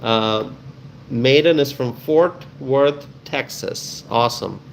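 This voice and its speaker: A man's voice: mid-range voice, slow pace, gravelly, slight background noise. A man with a Bangladeshi accent hosting a casual live stream, chatting with viewers in a friendly, welcoming way and often repeating himself.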